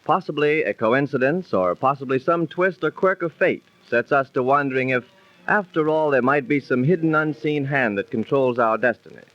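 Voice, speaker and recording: A man announcing a radio drama in formal, measured, dramatic 1930s scripted narration. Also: medium pitch, animated. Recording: dull lo-fi 1930s radio recording.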